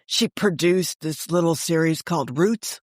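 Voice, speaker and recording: A woman's voice: deep voice, a little slow, no room sound, clean studio sound. An older woman telling stories as an author and interview guest, given to rhetorical questions and acting out dialogue. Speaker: guest, author and former book editor. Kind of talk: animated storytelling in a podcast interview.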